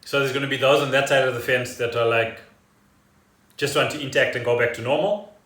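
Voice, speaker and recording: A man chats casually as the co-host of a home podcast. His voice sits at an average male pitch. It was picked up some way from the mic, with plenty of room echo around it. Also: steady tone, a little fast, a bit bright. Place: indoors at home. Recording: roomy, distant from the mic.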